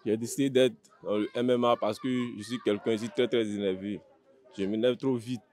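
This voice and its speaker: A man's voice: fairly low pitch, fairly even intonation, fairly quick, a little mellow. A man with an Ivorian accent, a fighter answering interview questions in a blunt, plain-spoken way with short, repeated phrases. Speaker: MMA fighter being interviewed.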